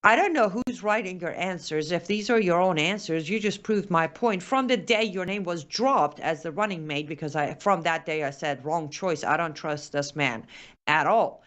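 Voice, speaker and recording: A woman's voice: fairly low pitch, normal speed, a bit bright. A woman hosting a political commentary show, making her case point by point with pointed sarcasm. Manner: sarcastic, emphatic, building point by point. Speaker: host.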